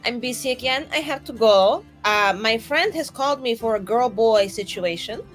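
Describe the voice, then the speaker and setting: A woman voicing an excitable, flustered young female mage who gushes in slangy exclamations in a tabletop game. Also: a little high, moderate pace, soft background music, lightly acted. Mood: breezy, cheeky. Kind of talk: tabletop roleplaying in character.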